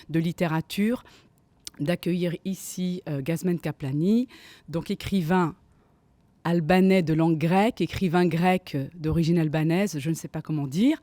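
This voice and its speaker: A woman's voice: on the low side, no room sound. A woman lecturer hosting a literary event in a university library, introducing and thanking people while hunting for her words now and then. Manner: warm, searching for words, fillers. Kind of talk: welcoming introduction.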